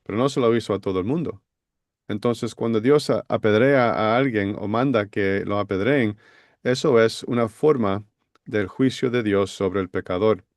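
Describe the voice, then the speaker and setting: A man's voice: on the low side, normal speed, darkish tone, no echo, clean studio sound. A man explaining Bible passages on a podcast, hesitant with many fillers. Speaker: Bible teacher.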